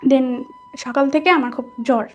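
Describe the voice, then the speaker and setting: A woman with a Bangladeshi accent telling a personal story conversationally, animated and dropping in English words. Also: high voice, a little slow, muffled recording. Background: soft background music.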